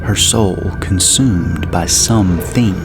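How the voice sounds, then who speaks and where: Male narrator, deep pitch, measured ominous delivery, scripted horror audio drama, loud music bed.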